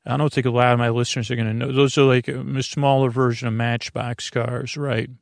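A man hosting a bedtime podcast, talking in a lulling, creaky way and wandering off on meandering tangents. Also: mid-range voice, little pitch movement, a little fast, no room sound, studio quality. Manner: meandering, tangent-prone, self-interrupting. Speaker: podcast host. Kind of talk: rambling bedtime podcast talk.

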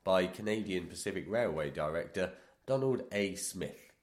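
A man with a cold co-hosting a podcast, joking, teasing and reading aloud haltingly. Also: sits low, animated, reverberant. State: has a cold. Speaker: podcast co-host. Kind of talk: casual banter.

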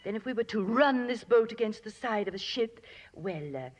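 A woman's voice: a little high, animated, normal speed. An actress playing a prim, determined, patriotic lady in a 1950s radio drama, with formal and precise phrasing. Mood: eager, scheming. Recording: dull vintage radio broadcast.

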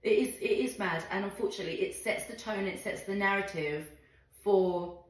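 A woman's voice: mid-range voice, normal speed. A woman hosting a podcast, chatting emphatically and reacting with sympathy. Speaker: podcast host. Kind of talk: casual chat. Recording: very echoey room.

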